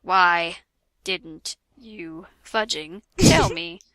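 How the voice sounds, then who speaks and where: A woman voicing a hot-tempered, wounded young man from fan fiction, halting and heavily stressing the outrage. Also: fairly low pitch, a bit bright, no echo, voice pushed down, poor audio. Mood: outraged, hurt.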